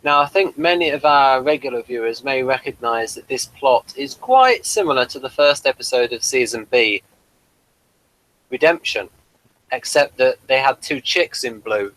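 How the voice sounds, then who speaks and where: A man with a mid-pitched voice hosts a casual review. He explains details in a halting, conversational way, feeling his way through each point. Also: expressive, a bit bright, rough recording. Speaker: host of a TV review show.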